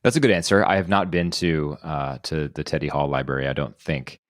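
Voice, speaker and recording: A man's voice: a bass voice, fairly quick, dry recording, studio quality. A man hosting a casual podcast, telling personal anecdotes in a discursive way, thinking aloud as he goes. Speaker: podcast host.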